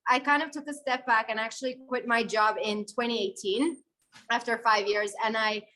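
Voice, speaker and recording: Bright-voiced chatty woman from Syria. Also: on the high side, moderate pace, rough recording. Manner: animated, many fillers and restarts. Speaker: guest videographer. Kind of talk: conversational interview answer.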